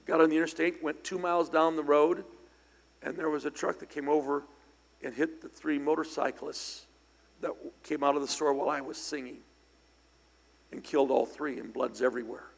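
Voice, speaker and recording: Man, raspy hoarse voice, sermon, church hall reverb. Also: on the high side, level tone, moderate pace. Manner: conversational, anecdotal, bursts of loud emphasis. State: slightly hoarse from singing. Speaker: preacher. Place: church sanctuary.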